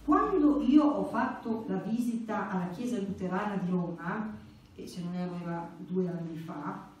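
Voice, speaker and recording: A middle-aged woman speaks as a lecturer at a religious conference. Her voice is soft-edged and mellow, her delivery conversational yet emphatic. The room rings heavily around her and she sounds somewhat away from the microphone. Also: medium pitch, moderate pace. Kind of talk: explanatory religious lecture, partly read from notes. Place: indoor church meeting room. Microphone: stand microphone. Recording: very echoey room, distant, faint hiss.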